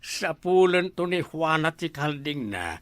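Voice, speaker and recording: Man acting a high-pitched excitable Ilocano drinking buddy. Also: measured pace, dry recording. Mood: gleeful, scheming. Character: scheming, excitable drinking buddy in a village comedy. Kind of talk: Ilocano comedy radio-style drama acting.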